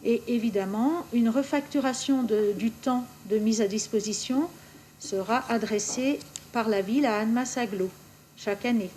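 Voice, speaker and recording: Higher-pitched woman with a mellow voice. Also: normal speed, slight background noise. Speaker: municipal official presenting a council resolution. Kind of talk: formal report and explanation at a council meeting. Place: municipal council meeting.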